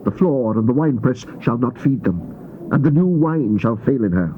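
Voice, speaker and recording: A muffled, slightly noisy old tape carries a man acting a stern prophet of medium pitch. He declaims King James scripture as the voice of a wronged, grieving God, solemn and theatrical in his delivery. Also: expressive, normal speed, lightly acted. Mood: stern judgment.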